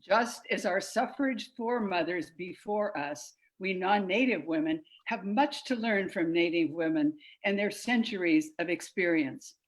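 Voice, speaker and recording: A woman's voice: on the low side, a little slow, hardly any pauses, poor audio. A woman reading historical quotations from a script for a reader's theater.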